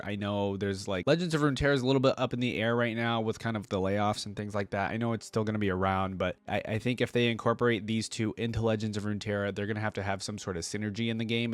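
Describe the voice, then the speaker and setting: A man's voice: fairly low pitch, dry recording. A man hosting a reaction video, giving casual, conversational commentary that rambles along.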